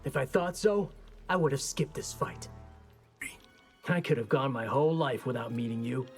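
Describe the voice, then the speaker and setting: An actor playing a defiant, skeptical young warrior in a video game, with a blunt, casual, challenging delivery. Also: male voice, mid-range voice, moderate pace, lightly acted. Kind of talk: video game character dialogue. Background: game music.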